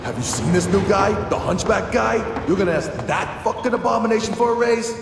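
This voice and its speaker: Game henchman: sneering thug, high scratchy voice, crude and bored, echoing. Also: a little fast, creaky, distant mic. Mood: scornful. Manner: sneering, foul-mouthed. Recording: echoey, loud background noise.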